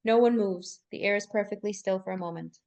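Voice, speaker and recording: A woman's voice: mid-range voice, brisk, darkish tone. A woman reading scripted stage directions aloud as narrator in a live table read.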